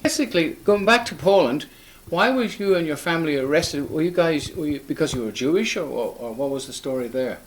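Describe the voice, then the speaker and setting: A man's voice: on the high side, lively intonation, brisk, low-quality recording. A man interviewing someone informally, asking plain-spoken questions that ramble a little.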